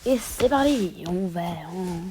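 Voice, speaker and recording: A man's voice: high voice, lively intonation, slow, background noise. A man with a cold, sniffling as he casually commentates his own match into a phone mic, muttering half-whispered asides and mock boasts. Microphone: phone microphone.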